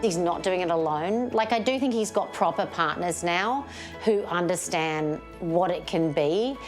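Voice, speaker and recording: Low-voiced woman over faint music. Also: animated, measured pace. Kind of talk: documentary interview, casual explanation.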